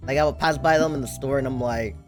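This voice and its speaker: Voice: medium pitch, quick pace. Easygoing stream host with questions. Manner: easygoing, curious interviewer. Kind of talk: casual chat. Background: background music.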